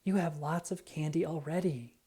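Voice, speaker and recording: A woman's voice: low voice, normal speed, mellow, husky. A woman voicing an eager, bossy but caring young girl in a storybook, coaxing and exclaiming. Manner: coaxing, exclaiming, sometimes whispering.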